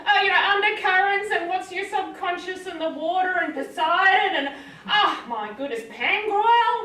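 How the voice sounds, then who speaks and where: A cheeky little forest man with an Australian accent and a very high, piping voice, put on by a woman. He rambles in wry, self-pitying asides. The voice rings in an echoey room, heard from some way off the mic. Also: lively intonation, normal speed, bright, forceful tone, distant mic, full character voice. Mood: indignant. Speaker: storyteller. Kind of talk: live comic storytelling in character.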